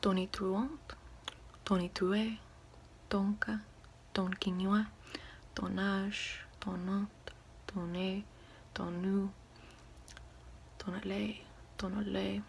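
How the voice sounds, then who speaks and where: A woman doing ASMR in a soft, breathy whisper, sounding out words one at a time. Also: medium pitch, flat delivery, slow, long pauses, a little hiss or hum. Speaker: ASMR creator reading a French dictionary. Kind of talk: ASMR whispered word-by-word reading.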